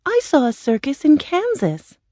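A woman's voice: high-pitched, wildly swooping pitch, moderate pace, dark tone, no room sound, pitched above the performer's own voice. A woman voicing a brave young girl in a storybook, polite but insistent, eager and emphatic. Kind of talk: storybook character voice.

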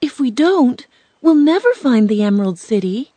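Dorothy, a high girlish storybook voice, as a woman puts her on. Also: sing-song, moderate pace, mellow, no room sound. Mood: urgent. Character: young girl, hopeful and tearful. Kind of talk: storybook reading.

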